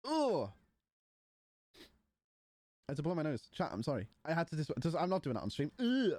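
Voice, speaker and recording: A man's voice: fairly high pitch, huge pitch swings, normal speed, darkish tone, no echo. A man, casually chatting and bantering with his livestream chat. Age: 20s. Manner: banters with chat, mocking and playful. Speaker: streamer.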